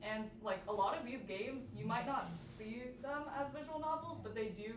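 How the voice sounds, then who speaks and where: A woman's voice: fairly high pitch, normal speed. Young woman presenting, echoey and distant. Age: young adult. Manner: casual, peppered with "like". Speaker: student club workshop presenter. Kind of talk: informal workshop presentation. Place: indoor workshop room with audience. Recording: echoey room, distant mic, noisy background.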